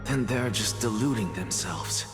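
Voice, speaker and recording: A man voicing a precocious, sceptical young student in a video game, measured and coolly defiant. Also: on the low side, close to an everyday voice. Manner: measured, questioning. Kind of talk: scripted video game voice acting. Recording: echoey hall reverb under music.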